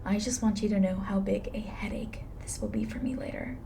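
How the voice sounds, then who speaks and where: A woman playing a blunt, weary, reluctantly soft-hearted reaper in an intimate ASMR roleplay, dry and matter-of-fact. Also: mid-range voice, fairly even intonation, brisk, vocal fry, lightly acted. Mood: exasperated, grudging.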